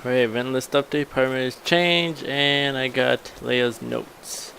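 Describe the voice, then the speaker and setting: Creaky-voiced man doing gameplay commentary. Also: mid-range voice, measured pace, music in the background. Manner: rambling, thinking aloud. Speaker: gaming video host. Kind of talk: casual let's play commentary.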